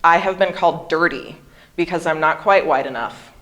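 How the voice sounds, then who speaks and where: Low-voiced woman giving a careful, deliberate talk. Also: a bit bright. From Hawaii. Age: 40s. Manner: careful, over-articulated pronunciation. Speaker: guest speaker. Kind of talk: prepared seminar talk.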